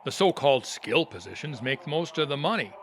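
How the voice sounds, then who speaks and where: A man's voice: mid-range voice, sing-song, fairly quick, slight background noise. A man playing an earnest, defiant, somewhat preachy family friend in an audio theater comedy.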